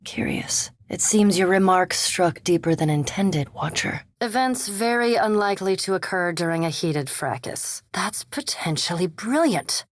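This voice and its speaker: A low breathy vampire lady scholar for a fantasy game, cool n formal, super dry close recording. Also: animated, a little slow, hardly any pauses, dry recording. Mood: cool, intrigued. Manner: formal, precise, dry, reserved. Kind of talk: fantasy video game character dialogue.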